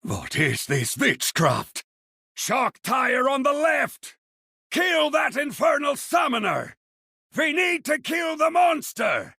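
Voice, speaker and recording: A boisterous Viking warrior from a video game, fearless and hungry for battle. The voice is raspy and forceful, pushed very high with loud bellowing, and recorded bone dry. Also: male voice, wildly swooping pitch, takes it slowly, no room sound, heavily acted. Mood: scornful, proud. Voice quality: raspy, gravelly. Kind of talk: video game character voice lines.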